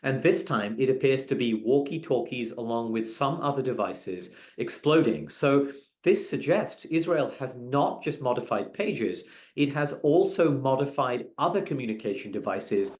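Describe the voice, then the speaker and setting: Male news reporter, medium pitch, scripted report narration. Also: expressive, normal speed, a little mellow.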